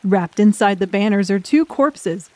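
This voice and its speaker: A woman's voice: mid-range voice, lively intonation, unhurried, a little mellow, no echo. A woman reading scripted, dramatic story narration as an audiobook narrator.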